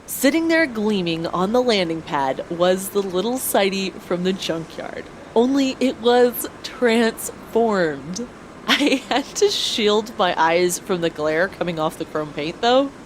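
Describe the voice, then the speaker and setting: A woman's voice: medium pitch, expressive, slow, a little hiss or hum, low-quality recording. A woman narrating a first-person audio drama expressively, acting out her own lines as she goes. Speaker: author and narrator of an audio story.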